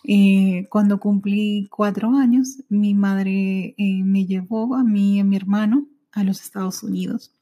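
Mellow-voiced woman telling her life story. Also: mid-range voice, fairly even intonation. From United States. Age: 30s. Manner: intimate, reflective, frequent "eh" pauses. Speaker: podcast host. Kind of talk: personal storytelling podcast. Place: small home apartment. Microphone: studio microphone.

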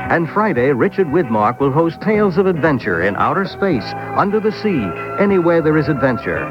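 A man's voice: fairly high pitch, sing-song, a little fast, music in the background. A man reading a scripted radio promo as programme announcer. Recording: dull old radio recording.

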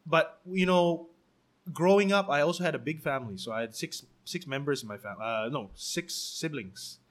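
A man's voice: on the high side, normal speed, a bit bright. A man in his twenties with a Singaporean English accent, chatting casually in an interview and laughing often between fillers. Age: 20s. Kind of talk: casual conversational storytelling.

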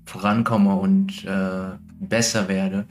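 Low-voiced, reflective man. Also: little pitch movement, slow pace, soft background music. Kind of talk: casual conversation.

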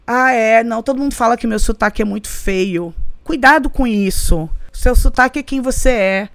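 A woman's voice: mid-range voice, creaky, dry recording. A woman with a Brazilian Portuguese accent explaining pronunciation conversationally, like a teacher, often checking that the listener follows. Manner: didactic, often checking understanding with short tag questions. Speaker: English pronunciation teacher, interview guest. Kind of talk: conversational explanation with spoken examples.

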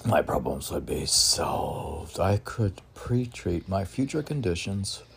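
A man reading his own poems informally, meandering and halting, with musing asides and rhetorical questions. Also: low-pitched, big pitch swings, creaky, fairly bright tone, slightly rough. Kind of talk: informal poetry reading with spontaneous commentary.

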